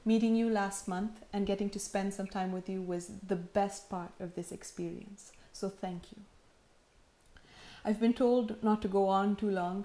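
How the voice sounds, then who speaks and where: A woman in her thirties, heavily pregnant, delivering a sincere, measured acceptance speech with gentle humor, recorded at home in her living room. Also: mid-range voice. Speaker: prize winner giving acceptance speech. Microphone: camera in front, seated framing.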